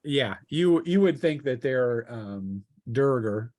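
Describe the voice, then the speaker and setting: A man running a tabletop game as game master, improvising narration in a halting, piece-by-piece way. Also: medium pitch, expressive, darkish tone, no echo. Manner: halting, piecing descriptions together aloud.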